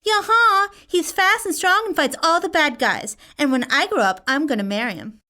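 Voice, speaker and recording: A woman playing a bouncy, cute little sister with an excitable childish manner in an audio drama. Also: high-pitched, moderate pace. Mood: adoring.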